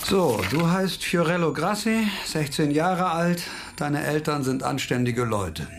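A man playing a stubborn, wry police investigator in a radio crime drama, speaking in a deliberate, insistent and sardonic manner. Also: fairly high pitch, animated. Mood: menacing, sardonic.

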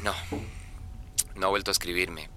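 Male actor as concerned everyman, lowish but very bright voice, earnest conversational radio drama. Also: steady tone, normal speed, slight background noise. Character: worried, earnest man named José sharing news.

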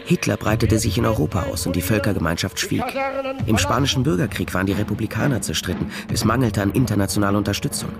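A world-weary detective telling his own story in a radio drama. His voice is low and gravelly, measured and sombre, with music playing behind it. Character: male private detective narrating a crime story, world-weary. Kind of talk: scripted first-person radio drama narration.

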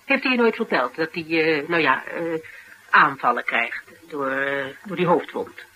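An actress playing a flustered, blunt, protective interviewer in a radio drama, with a Netherlands Dutch accent and a halting, exasperated delivery. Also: female voice, deep voice, animated, pushed and bright, rough recording. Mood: anxious, pleading. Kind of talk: naturalistic radio drama dialogue. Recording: faint background noise.

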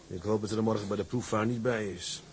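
An actor playing a reserved, modest ship's officer in a radio drama, hesitant and polite. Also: male voice, fairly low pitch, fairly even intonation, fast talker, a little mellow, close to an everyday voice. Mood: brooding. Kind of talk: Dutch radio drama acting.

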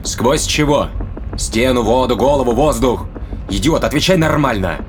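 A man's voice: medium pitch, moderate pace, noisy background, natural voice. Man as a stern interrogating spaceship officer. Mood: impatient, demanding. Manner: blunt questioning, formal analytical phrasing. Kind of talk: audio drama acting.

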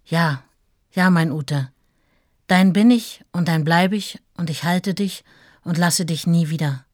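A woman's voice: lowish voice, slow, dry recording, studio quality. An actress playing a devoted, ardent woman in love, reading her letter earnestly and fervently in a radio play.

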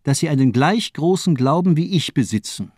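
A man's voice: a little high, expressive, moderate pace, a little mellow, no room sound, clean studio sound. A man acting in a radio drama as a fanatical, mocking doctor, declaiming with insistent, rhetorical force. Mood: taunting, insistent. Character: fanatical, mocking villainous male doctor, zealously demanding.

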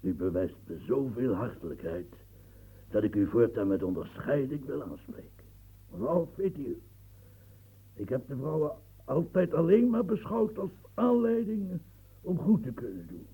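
A gravelly old marshal. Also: male voice, mid-range voice, big pitch swings, measured pace, heavily acted, low-quality recording. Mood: brooding, declaiming. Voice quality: gravelly, dark and husky. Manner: grand declaiming, stammers when moved. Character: aging royal fortress engineer, proud, brooding. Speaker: military engineer marshal. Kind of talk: radio drama acting.

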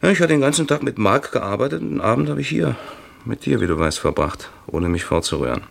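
An actor playing a middle-aged husband who answers police questions in a hesitant, stumbling, evasive way. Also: male voice, medium pitch, lively intonation, quick pace, gravelly. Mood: uneasy. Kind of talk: radio play acting.

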